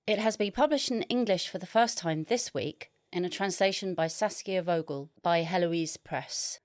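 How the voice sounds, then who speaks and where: A woman's voice: lowish voice, moderate pace. A woman hosting a literary radio interview, introducing the guest and asking questions.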